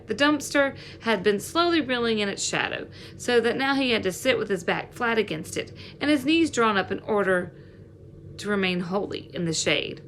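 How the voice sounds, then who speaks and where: Female audiobook reader for children's fiction, expressive, mid-pitched, emphatic delivery. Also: a little hiss or hum. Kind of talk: dramatic read-aloud of a children's novel.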